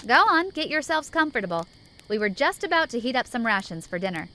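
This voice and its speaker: Bright, high-pitched breezy army sergeant played by a woman. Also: big pitch swings, fast talker, slight background noise. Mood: teasing. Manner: chatty, teasing, flippant. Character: breezy, friendly woman staff sergeant in post-apocalyptic army. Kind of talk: fan audio drama acting.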